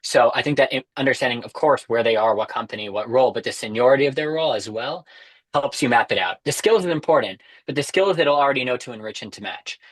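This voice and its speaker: Male, young adult, quick pace, mid-range, organized explainer, informal video-call delivery. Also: medium pitch. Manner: structured, explanatory. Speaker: webinar guest, recruitment technology expert. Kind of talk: informal explanatory webinar talk. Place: indoors against a dark backdrop, on a video call. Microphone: wireless earbuds on a video call.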